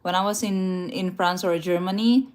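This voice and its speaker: Woman, casual livestream chat, laughs a lot, a little low pitched. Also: steady tone, normal speed, darkish tone. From Philippines. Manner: chatty, laughs often, small restarts and slips. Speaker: travel livestream host. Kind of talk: casual live chat, reading viewer comments aloud. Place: indoors, a rented room at night.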